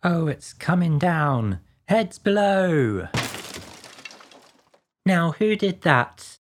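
A woman's voice: on the low side, sing-song, extremely slow, a little hiss or hum, pitched below the performer's own voice, full character voice. A woman voicing a blunt, bossy male workman among a crew of storybook creatures. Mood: bossy, busy.

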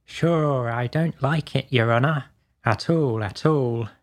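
A woman reader plays a simple manservant from a storybook. His voice sits very deep and moves slowly. He is meek and deferential, a little hesitant with his betters. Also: dry recording, clean studio sound. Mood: reluctant.

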